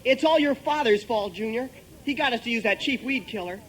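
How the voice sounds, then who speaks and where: A man's voice: extremely high pitch, lively intonation, brisk, fairly bright tone, poor audio. A young-sounding man playing a scrappy, sly, sarcastic boy in a 1950s radio comedy. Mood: accusing. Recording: vintage radio recording with faint noise.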